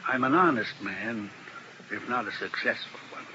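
Actor playing a jittery, defensive lawyer. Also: male voice, on the low side, animated, normal speed, close to an everyday voice. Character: nervous, failed male lawyer. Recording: dull, noisy old radio recording.

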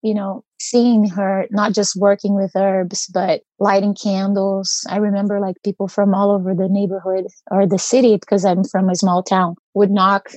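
A woman's voice: mid-range voice, flat delivery, moderate pace, hardly any pauses, a little mellow, no echo, low-quality recording. A woman with a Brazilian accent, speaking earnestly as an interview guest and searching for her words as she reflects.

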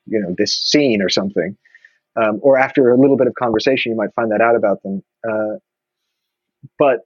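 A man's voice: mid-range voice, husky, no room sound, muffled recording. A man, talking thoughtfully in a casual conversation with many restarts. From New York. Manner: reflective, many restarts and fillers.

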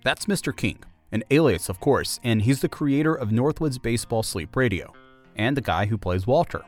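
A man's voice: mid-range voice, expressive, normal speed, faint music behind. A man hosting a podcast, delivering scripted documentary-style narration.

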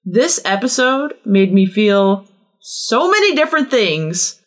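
A woman's voice: mid-range voice, sing-song, slow pace. A woman chatting casually as a podcast guest, with a wry, informal way of talking. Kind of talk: casual chat.

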